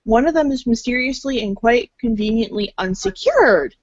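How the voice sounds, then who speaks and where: A woman's voice: on the high side, expressive, normal speed, dry recording. A woman narrating a read-along storybook in a fan readers' theater. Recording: dull lo-fi audio.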